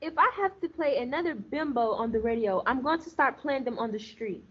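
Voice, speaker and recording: A woman playing a fed-up, sassy radio actress with a husky voice in a comic play. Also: high voice, expressive, brisk, fairly bright tone, exaggerated voice. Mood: exasperated. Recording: slightly dull lo-fi recording.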